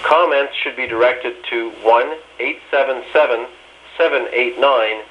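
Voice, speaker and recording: A man reads a scripted station announcement in a measured, formal manner. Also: medium pitch. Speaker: weather radio station announcer. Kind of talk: scripted bilingual station identification. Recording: muffled, hissy weather radio broadcast.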